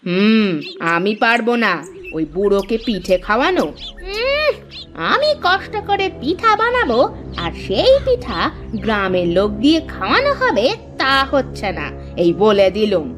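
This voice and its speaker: Lady doing stingy jealous village wife, high swoopy voice, sulky and sarcastic cartoon acting. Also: wildly swooping pitch, measured pace, music in the background. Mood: indignant. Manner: shrill indignation, sarcastic, sulky. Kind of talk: Bengali fairy-tale cartoon acting.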